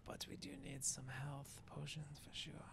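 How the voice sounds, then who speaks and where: A man roleplaying a hazy-minded dark elf shaman in a video game, muttering and rambling hesitantly as he muses aloud. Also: medium pitch, completely flat delivery, unhurried, gravelly. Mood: musing, muttering. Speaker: player roleplaying his game character. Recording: noisy background with game ambience.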